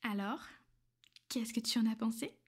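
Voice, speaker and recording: Woman playing a soft-whispering vampire mistress. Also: a little high, moderate pace, slightly rough. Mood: teasing. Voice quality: soft, half-whispered and intimate. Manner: soothing, coaxing and possessive. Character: gentle, reassuring female vampire mistress. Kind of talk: ASMR roleplay.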